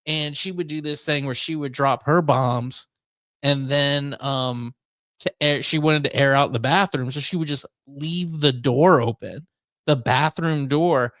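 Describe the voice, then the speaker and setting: A man's voice: fairly high pitch, measured pace, a bit bright, dry recording. Rambling, joking man in dry sound. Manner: crude joking, rambling, incredulous. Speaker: radio show host. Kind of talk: casual comic chat.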